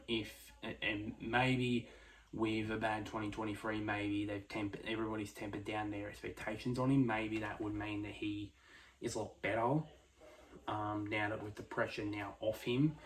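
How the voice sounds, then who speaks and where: A man giving casual solo motorsport predictions, speaking quietly late at night, with many fillers and restarts. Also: lowish voice, flat delivery, normal speed, low-quality recording. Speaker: F1 prediction vlogger.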